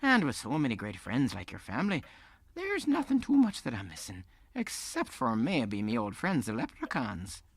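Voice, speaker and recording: A man playing a kindly old Irish storyteller, folksy and gentle, telling tales in an audio drama. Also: mid-range voice, huge pitch swings, moderate pace, dry recording. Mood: kindly, playful.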